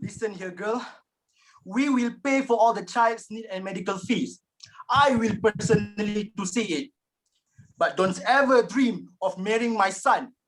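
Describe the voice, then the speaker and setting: A man's voice: high voice, unhurried, a bit bright, poor audio. A man playing a wealthy, status-proud father, scornful and commanding, in a dramatic reading. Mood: cold, threatening.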